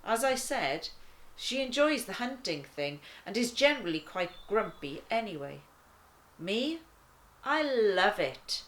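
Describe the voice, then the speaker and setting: Woman playing an easygoing lion with unhurried, animated delivery. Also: mid-range voice. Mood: chatty. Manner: conversational, wry, dramatic pauses. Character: easygoing, laid-back talking lion, chatty and wry. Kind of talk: first-person children's storybook narration.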